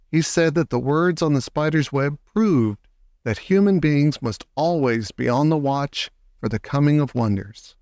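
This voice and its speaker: A man narrating a children's story from a script in a measured, clearly worded way. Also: a little high, darkish tone, no echo, clean studio sound. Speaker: audiobook narrator.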